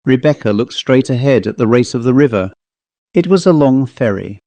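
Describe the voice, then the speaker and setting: Measured male storyteller, close and dry. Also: mid-range voice, expressive, mellow, no room sound. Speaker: audiobook narrator. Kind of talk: scripted literary narration of a ghost story.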